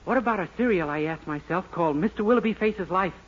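A man's voice: sits high, animated, quick pace. An American man playing a naive, cheerful young small-town clerk in 1940s radio comedy style, with a boyish, chirpy manner. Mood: eager. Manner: boyish, chirpy, self-pleased. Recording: muffled, noisy 1940s radio recording.

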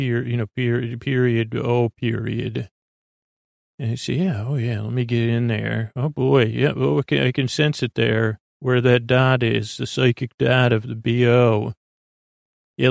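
A man's voice: mid-range voice, gravelly, dry recording, studio quality. A man hosting a podcast, drifting through a rambling improvised bedtime monologue full of fillers, restarts and meandering asides. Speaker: podcast host. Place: small studio.

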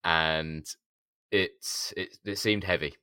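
A man with a hoarse, gravelly voice, co-hosting a podcast in casual chat, laughing a lot and stumbling over his words. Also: low voice, fairly even intonation, takes it slowly, bright, forceful tone, dry recording, studio quality. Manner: laughs often, stammering restarts. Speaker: podcast co-host.

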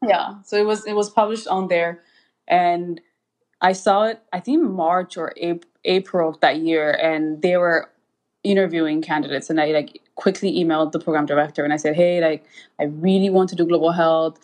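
A woman's voice: on the low side, steady tone, moderate pace, a little mellow. A woman from Ethiopia, in her thirties, a guest recounting her path in a casual interview, talking with many fillers and restarts. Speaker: guest, anesthesiologist.

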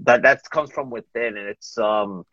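Male podcast host, husky mid-range voice, informal and halting. Dry, lo-fi audio. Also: mid-range voice, a bit bright, dry recording. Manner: halting, repeats words, informal. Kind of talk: casual chat.

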